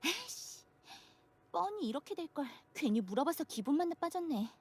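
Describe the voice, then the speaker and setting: A woman's voice: high voice, very animated, rapid-fire. An actress playing a stubborn, prideful young woman in a horror visual novel, snapping curtly and huffily. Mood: huffy, resentful. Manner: curt, snappy, huffy.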